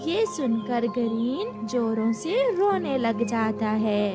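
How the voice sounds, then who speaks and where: Slow, high-voiced woman storyteller. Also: big pitch swings. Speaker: narrator. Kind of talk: scripted children's story narration. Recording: music behind the voice.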